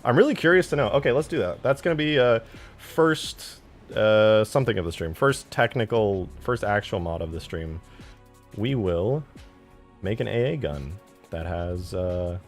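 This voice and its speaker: A man live streaming as he works on a game mod, chatting casually with viewers and muttering his steps aloud in fragments. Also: fairly low pitch. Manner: thinks aloud in short fragments, muttering to himself while working. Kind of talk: casual live stream chat while working.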